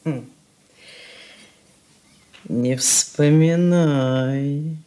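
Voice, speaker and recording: An actress playing a sly, fond old nanny in a radio drama, with folksy, teasing speech. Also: female voice, sits low, extremely slow, dark tone. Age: older. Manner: folksy, drawling, with chuckles and exclamations. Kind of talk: Russian stage-style radio drama acting.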